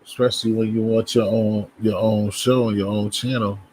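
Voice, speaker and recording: A man's voice: on the low side, level tone, normal speed, a little mellow, poor audio. Rambling Boston man on a livestream. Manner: loose, rambling talk to viewers, frequent restarts. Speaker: livestream host. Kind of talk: casual livestream chat.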